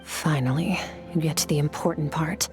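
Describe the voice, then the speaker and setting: Woman acting a low, cold, stoic swordswoman. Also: fairly even intonation, over music, close to an everyday voice. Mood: resolute, detached. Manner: stern, detached, measured. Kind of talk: video game voice acting.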